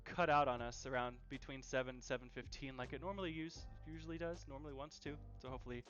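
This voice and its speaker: A man with a mid-pitched voice chats casually as he hosts a cooking stream from his home kitchen. He is easygoing and tends to repeat himself for emphasis. The sound is dull and lo-fi, with music clearly playing along. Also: steady tone, fast talker, fairly bright tone. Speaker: host of a live cooking stream. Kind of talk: casual live-stream chat while cooking. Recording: dull, lo-fi livestream audio.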